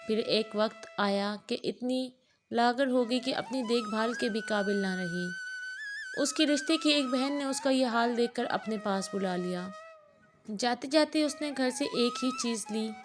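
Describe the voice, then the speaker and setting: A woman's voice: on the high side, measured pace. A woman storyteller reading a scripted moral story as narration. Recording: music playing behind the voice. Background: background music.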